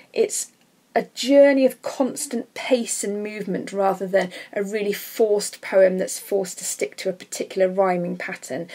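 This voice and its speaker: A woman's voice: medium pitch, darkish tone, breathy edge. A woman teaching English literature in an informal, explanatory lesson voice-over.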